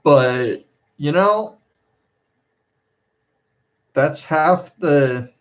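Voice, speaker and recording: Male storm spotter streamer, unhurried and halting, casual commentary to viewers, slightly muffled sound. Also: fairly high pitch, animated. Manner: halting, repeats phrases, addresses viewers as guys.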